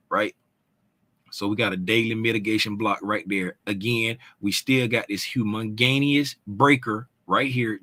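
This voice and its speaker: A man's voice: mid-range voice, sharp tone, no echo. A man explaining trading charts in a casual, conversational way, often checking in with "right?" and "you know what I'm saying?". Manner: loose, informal phrasing with restarts. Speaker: trading educator.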